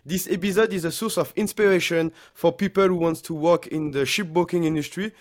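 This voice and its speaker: A man's voice: a little high, fairly even intonation, fairly quick. A man, hosting and interviewing a guest on a podcast. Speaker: podcast host.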